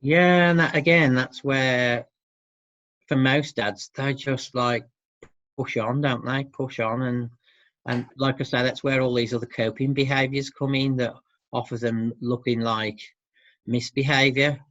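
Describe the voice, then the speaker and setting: A man's voice: medium pitch, steady tone, a little slow, a bit bright. A man answering interview questions about his work in an informal, thoughtful, hesitant way. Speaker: interviewee, support service worker for dads. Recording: muffled, roomy lo-fi sound.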